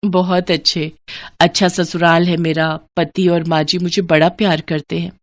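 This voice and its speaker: A woman's voice: on the low side, flat delivery, normal speed, no echo, close to an everyday voice. A woman voicing a meek, dutiful young daughter-in-law, speaking politely and hesitantly in a storybook tale. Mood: reassuring.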